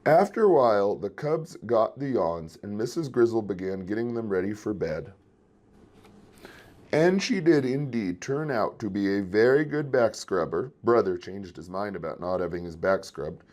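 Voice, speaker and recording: A man reading a children's story aloud in an expressive storytelling style. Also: on the low side, moderate pace. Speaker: children's book reader.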